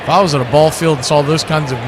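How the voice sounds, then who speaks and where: A man's voice: fairly high pitch, steady tone, quick pace. A man doing live baseball play-by-play in a conversational, folksy way, mixing the call with casual asides. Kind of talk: live radio sports commentary with casual asides. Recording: noisy ambience behind the voice.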